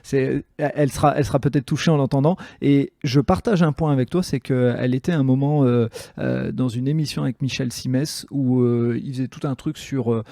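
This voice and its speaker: A man's voice: medium pitch, steady tone, fairly quick, vocal fry, hardly any pauses, mellow, dry recording, clean studio sound. A man hosting a podcast in a chatty, rambling, enthusiastic way. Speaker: podcast host and interviewer. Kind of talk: casual conversational interview.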